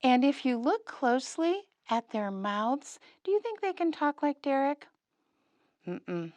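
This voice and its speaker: A woman's voice: sits high, sing-song, a little slow, a little mellow, no echo. A woman presenting an interactive lesson to young children, giving simple instructions, questions and encouragement. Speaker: puppet show presenter for preschoolers.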